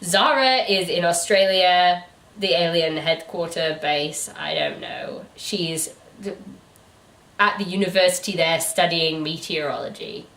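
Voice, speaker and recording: A woman co-hosting a book review channel, chatting casually in an animated, incredulous way. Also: lowish voice, measured pace, recorded from a distance. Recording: echoey room, away from the mic.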